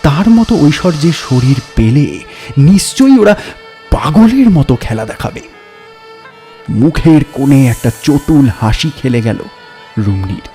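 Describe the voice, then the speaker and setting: A man narrating a crime story in a measured, suspenseful, dramatic storytelling style. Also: fairly high pitch, big pitch swings, warm tone. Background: background music.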